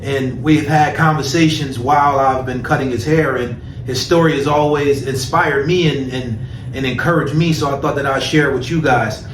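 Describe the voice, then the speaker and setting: A man's voice: mid-range voice, steady tone, moderate pace, hardly any pauses. A man hosting a casual interview in an informal, encouraging way, often repeating his phrases. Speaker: host. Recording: roomy, distant mic, faint noise.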